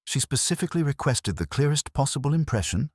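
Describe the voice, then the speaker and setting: A man voicing an attentive police assistant in a mystery story, quietly questioning. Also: mid-range voice, sing-song, quick pace, breathy edge, no echo, clean studio sound, pitched above the performer's own voice.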